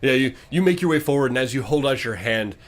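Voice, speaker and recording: A man's voice: medium pitch, expressive, quick pace. A man working as game master, improvising dramatic, descriptive narration for a fantasy tabletop game.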